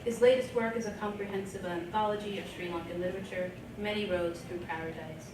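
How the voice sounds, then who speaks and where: A woman's voice: mid-range voice, steady tone, brisk. A woman presenting at a conference, reading a scripted introduction aloud at a podium, recorded from across a hotel meeting room. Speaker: event presenter introducing an author. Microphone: podium microphone, recorded from across the room. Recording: echoey, distant, noisy room.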